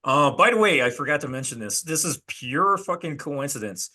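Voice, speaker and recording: A man's voice: on the high side, expressive, normal speed, pushed and bright. A man hosting a dating coach panel, chatty and casual as he moves the talk along.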